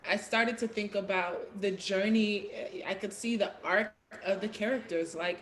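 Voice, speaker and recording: A woman chatting casually as a panel guest, thinking aloud in a halting, thoughtful way. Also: mid-range voice, fairly even intonation, fairly bright tone. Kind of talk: casual reflective chat.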